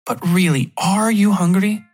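A man voicing a bubbly, excitable female literary agent who rambles and gushes chattily, in an audiobook-style story. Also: sits high, a little slow, husky, pitched above the performer's own voice. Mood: amused, friendly.

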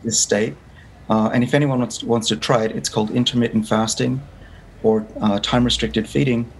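A man's voice: mid-range voice, fairly even intonation, moderate pace, poor audio. Fifty-something Australian man, earnest explainer. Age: 50s. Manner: earnest, explanatory, frequent restarts. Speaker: guest researcher. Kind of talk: remote interview. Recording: faint background noise.

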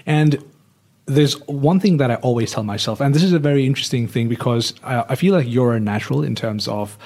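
A man hosting a podcast, talking in a casual, reflective way as he thinks out loud. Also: mid-range voice, a little fast. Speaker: podcast host. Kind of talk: casual conversational interview.

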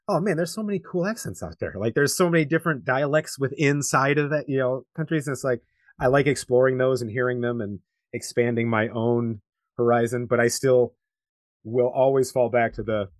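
A man's voice: mid-range voice, fairly even intonation, a little fast, no room sound, clean studio sound. A man co-hosting a casual chat, speaking in an informal, agreeable, easygoing way. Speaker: podcast co-host.

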